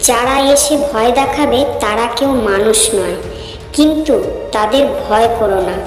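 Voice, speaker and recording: An actress playing a mad, commanding ascetic woman of the cremation ground, abrupt and taunting, in an animated horror story. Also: fairly high pitch, moderate pace, lightly acted. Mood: commanding, intense. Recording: echoey reverberant voice over faint music.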